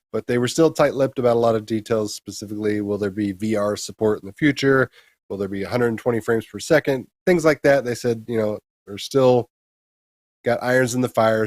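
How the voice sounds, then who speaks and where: A man with a fairly low voice co-hosts a casual gaming news show. He explains updates conversationally, and the recording is dry and close. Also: darkish tone, no room sound. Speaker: co-host presenting gaming news.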